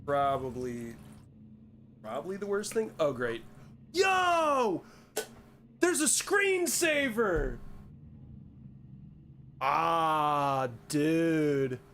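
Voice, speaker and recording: A man's voice: a little high, expressive, extremely slow, long pauses, fairly bright tone, a little hiss or hum. A young man streaming a horror game, joking and swearing as he talks to his chat and reads on-screen text aloud. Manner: jokey, profane, talks to chat. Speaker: gaming streamer. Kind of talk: casual gameplay commentary.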